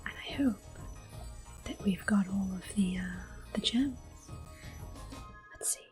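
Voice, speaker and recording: Softly whispering, mellow woman gamer. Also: medium pitch, faint music behind. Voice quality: soft, breathy whisper. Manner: gentle, unhurried, hushed. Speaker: ASMR gameplay host. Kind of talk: ASMR game commentary.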